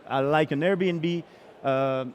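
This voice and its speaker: A man from Belgium, middle-aged, pitching his startup on stage with a slightly raised voice for a man. He keeps halting with fillers and restarting his sentences. There is faint traffic noise outside. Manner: hesitant, many fillers and restarts. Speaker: startup founder.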